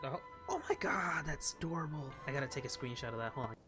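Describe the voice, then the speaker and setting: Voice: medium pitch, expressive, fast. A game streamer of unclear sex chatting casually through a playthrough, rambling and reacting aloud. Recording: game music behind.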